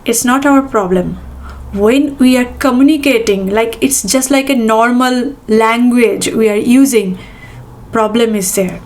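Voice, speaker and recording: Woman host, Bengali-accented English, raised pitch, halting delivery with fillers. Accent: Bengali-influenced English. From India. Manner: hesitant, many fillers and restarts. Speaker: podcast host. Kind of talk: casual monologue.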